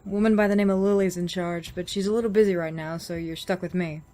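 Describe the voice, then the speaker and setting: A woman's voice: on the low side, fairly even intonation, fast, warm tone, close to an everyday voice. An actress as a sardonic woman survivor in a zombie drama. She is bossy and weary, and her words come out dry and clipped with authority. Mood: dry, curt. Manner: curt, dry, commanding. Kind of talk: scripted audio drama acting.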